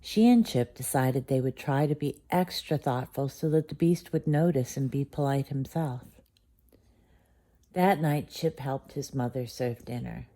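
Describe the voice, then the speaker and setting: A woman's voice: rumbling low, a little slow, mellow. A woman reading a children's bedtime story aloud as storyteller.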